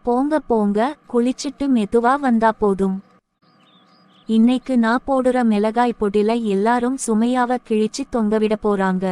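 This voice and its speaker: A woman voicing a jealous, scheming younger daughter-in-law in an animated family moral short. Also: on the high side, a little slow, smooth, dry recording, natural voice. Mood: falsely sweet. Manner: resentful, plotting, speaks thoughts aloud.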